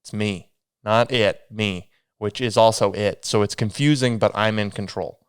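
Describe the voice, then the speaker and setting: A man's voice: fairly low pitch, fairly even intonation, normal speed, smooth, no echo, studio quality. A young man hosting a solo podcast, rambling casually with a sniffly, stuffy nose. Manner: rambling, self-deprecating, meandering restarts. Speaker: podcast host.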